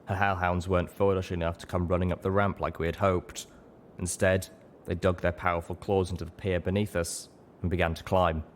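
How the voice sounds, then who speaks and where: A man with a low voice narrates a story. His delivery is dramatic and scripted, in the manner of an audiobook. The recording has clear noise behind him. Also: flat delivery, a little fast.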